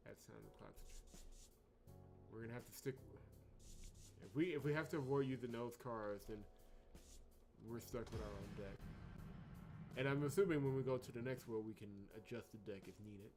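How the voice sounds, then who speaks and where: Man thinking out loud over game music. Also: mid-range voice, normal speed, pauses often. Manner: thinks out loud, mutters through puzzles. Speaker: let's play host. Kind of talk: blind game playthrough, reading dialogue aloud and commenting.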